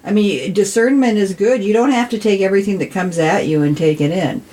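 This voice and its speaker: A woman's voice: medium pitch, brisk, mellow, slight background noise. An American woman aged sixty, speaking as a podcast guest in an earnest, slightly preachy conversational way. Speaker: podcast guest, city council member. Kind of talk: casual discussion.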